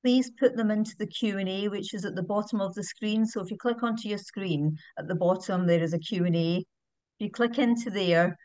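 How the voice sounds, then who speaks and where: A woman's voice: medium pitch, brisk, darkish tone, no echo. A woman with a British accent hosting a webinar over a video call, fluent but with frequent ums. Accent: British English. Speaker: webinar host.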